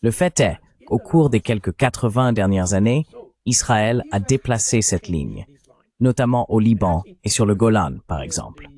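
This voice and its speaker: A man reading a measured, explanatory French voice-over of an interview. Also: on the low side, animated, a little mellow. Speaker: translation voice-over.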